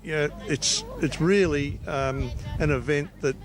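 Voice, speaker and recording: An Australian man, an event organiser, giving an informal, halting interview on location. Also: on the high side. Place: outdoors by an event tent. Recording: loud music and event noise behind.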